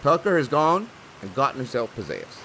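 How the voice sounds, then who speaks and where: A man plays a worried country cousin with a medium-pitched, lively voice. He sounds urgent and plain-spoken. Faint hiss sits behind this comedy audio drama. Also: a little fast, full character voice, low-quality recording. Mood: urgent, grave. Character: stressed, anxious hillbilly cousin seeking help.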